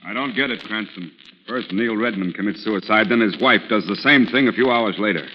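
An actor playing a gruff, sceptical, blustery police commissioner in a 1940s radio drama. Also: male voice, on the low side, brisk, loud music behind the voice, close to an everyday voice. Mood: exasperated. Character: gruff, exasperated middle-aged male police commissioner. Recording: muffled, hissy old radio recording, reverberant.

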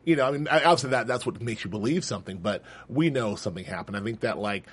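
A man's voice: medium pitch, fast talker, slightly rough. A man hosting a casual panel chat about a TV episode, talking animatedly with lots of laughter and restarts as he works through his thoughts. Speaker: host.

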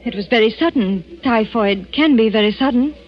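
Woman playing earnest young bride, high voice. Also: normal speed, natural voice. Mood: polite, defensive. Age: young adult. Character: young, trusting, anxious French doctor's bride. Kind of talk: 1940s radio drama acting. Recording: muffled 1940s radio, organ music behind.

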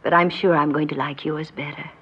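A woman's voice: sits low, fairly even intonation, a little fast, slight background noise, lightly acted. An actress in an old radio drama playing a vain, proud middle-aged grandmother who frets about growing old. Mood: evasive, pleasant. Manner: proud, fretful, theatrical. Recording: muffled old radio recording.

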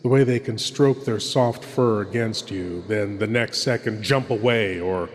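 A man playing a courtly, ghoulish horror show host, speaking theatrically and ominously with dramatic pauses. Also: fairly low pitch, lightly acted. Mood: ominous, musing. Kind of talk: old-time horror host narration. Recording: long cavernous reverb.

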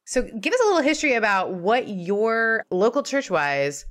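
A woman's voice: fairly high pitch, sing-song, moderate pace, fairly bright tone. Chatty woman hosting a podcast. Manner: chatty and friendly, laughs along, adds fillers like um. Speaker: podcast host. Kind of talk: casual podcast chat.

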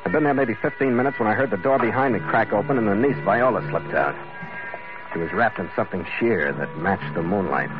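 A man plays a hard-boiled seafaring bodyguard in classic radio drama style. His voice is on the low side, clipped and matter-of-fact, with a wry edge. The old recording is dull, with music clearly behind him. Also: a little fast, natural voice. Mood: grim narration. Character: tough, wry Irish-American sailor hired as bodyguard, narrating. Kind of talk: 1940s-50s radio drama acting, hard-boiled narration.

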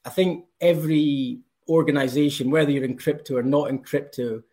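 A man's voice: a little high, fairly even intonation, moderate pace, dark tone. A man from Scotland with a Scottish accent, answering interview questions with enthusiasm and frequent ums. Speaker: company representative.

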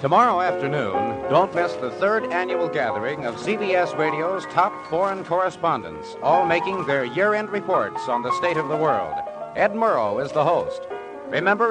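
A man's voice: a little high, poor audio. An American man working as a radio announcer, reading scripted credits and promos in a formal, emphatic old-time style. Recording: vintage radio broadcast over loud music.

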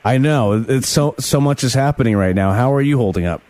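A man's voice: medium pitch, a little fast, a little mellow, no room sound. A man hosting a political interview in a chatty way, with sarcastic asides. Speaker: interviewer host.

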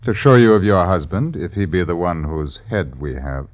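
An actor playing a stern sheriff of old London Town in a radio drama, questioning in formal, archaic phrases. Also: male voice, low voice, expressive, normal speed. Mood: stern, probing. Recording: dull, noisy vintage radio recording.

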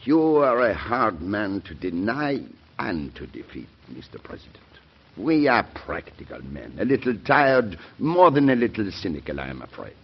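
Cynical French statesman, husky voice, animated intonation, formal, courtly, weary, vintage radio drama. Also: fairly low pitch, normal speed, heavily acted. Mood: wry, skeptical. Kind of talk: classic radio drama acting. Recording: dull, band-limited old radio recording.

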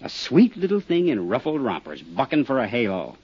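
A tough American clip-joint owner, husky and slangy, always on the defensive. His delivery swings up and down with lively streetwise bite. The sound is a dull vintage radio drama recording. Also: medium pitch, fairly quick. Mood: bitter, emphatic. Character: tough, cagey small-town roadhouse owner, wisecracking hustler. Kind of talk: 1950s radio drama acting.

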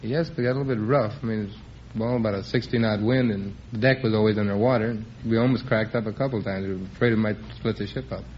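Young male contestant, lowish voice, understated and plain-spoken, on a muffled vintage radio recording. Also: steady tone, fast talker. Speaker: quiz contestant. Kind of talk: plain answers in a radio interview. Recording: muffled old radio with faint noise.

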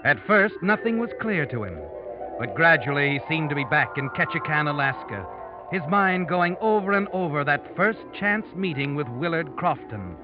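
An actor playing the Whistler's role of an ominous, all-knowing narrator in a 1940s radio suspense show, theatrical and foreboding. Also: male voice, on the high side, animated, normal speed, natural voice. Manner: theatrical, ominous, addresses the character as you. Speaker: narrator and host of mystery radio drama. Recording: dull old radio recording with music.

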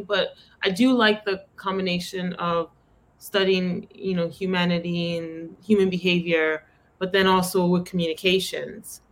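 Bronx-accented woman telling animated stories. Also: fairly low pitch. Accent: New York (Bronx). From the Bronx, New York. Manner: animated storytelling, quoting her own thoughts aloud. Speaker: podcast guest. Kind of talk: casual interview conversation.

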